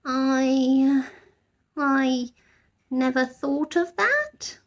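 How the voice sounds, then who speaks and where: A woman's voice: high-pitched, extremely slow, pitched above the performer's own voice, heavily acted. A woman voicing a small, polite young male bear for a storybook, earnest and easily flustered, with careful formal phrasing. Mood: crestfallen.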